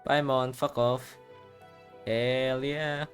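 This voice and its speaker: A man's voice: medium pitch, flat delivery, drawn-out words. A young man giving casual, slangy gameplay commentary as the host of a playthrough. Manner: slangy, offhand. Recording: game music behind.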